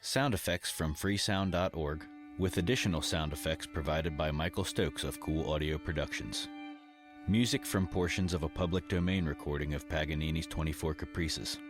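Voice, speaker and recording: A male announcer with an extremely deep voice reads scripted credits. Violin music plays clearly behind him.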